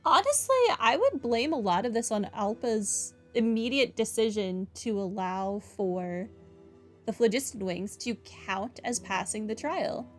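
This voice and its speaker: Higher-voiced woman commenting on a game. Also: expressive, a little slow, faint music behind. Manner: opinionated, talks back to the characters. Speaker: gaming playthrough host. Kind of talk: casual reactive game commentary.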